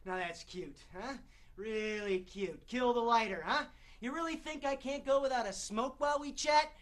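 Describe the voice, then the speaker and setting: A man's voice: very high voice, moderate pace, a bit bright. A man performing a theatrical monologue as an exasperated, wisecracking struggling actor, sarcastic and colloquial. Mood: sarcastic, needling.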